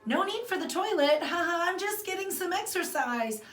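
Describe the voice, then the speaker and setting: Woman as a high-pitched, over-eager young girl, echoey. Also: animated, moderate pace. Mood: nervous, over-eager. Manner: bright over-eager chirping. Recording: roomy echo, distant mic.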